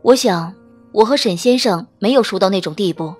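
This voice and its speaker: A woman voicing a sharp-tongued rich young businesswoman in an audiobook, speaking in a crisp, firm and pointed way. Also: medium pitch, fairly quick, soft background music, voice pushed down, lightly acted. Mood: cool, cutting.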